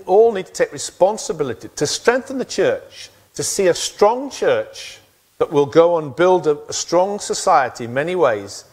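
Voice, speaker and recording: A middle-aged man preaching on a church stage, his voice rising and falling in a lively way as he makes his points. He sounds animated and conversational, and he is picked up close by a headset mic. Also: on the high side. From England. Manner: animated, gestures widely while pacing the stage. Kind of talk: sermon. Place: church stage with wooden backdrop.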